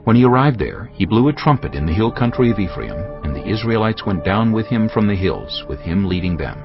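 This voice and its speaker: A deep-voiced man narrates scripture in a solemn, measured storytelling style. His intonation rises and falls dramatically. Music plays beneath the slightly dull recording. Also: very animated. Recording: dull audio with music behind.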